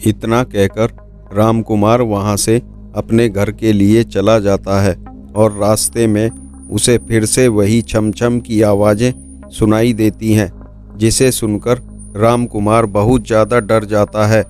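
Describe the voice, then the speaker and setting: A man's voice: on the low side, level tone, slow, darkish tone. A man reading scripted narration of a horror folk tale for a cartoon. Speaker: storyteller. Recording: music behind the voice.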